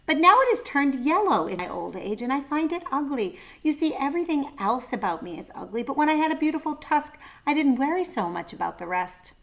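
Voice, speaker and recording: A woman's voice: very animated, a little fast, hardly any pauses. A woman voicing a grumpy, self-pitying old male rhinoceros in a storybook. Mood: mournful. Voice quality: deep, angry voice. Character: angry, weepy old male rhinoceros, vain about his tusk.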